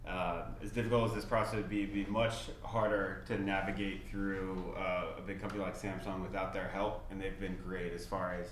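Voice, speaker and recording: A young man co-hosting a casual livestream Q&A, easygoing and quick to agree. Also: low-pitched, little pitch movement, reverberant, a little hiss or hum. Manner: easygoing, brief agreeing replies. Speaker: head of strategy, co-host. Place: small office studio with glass walls.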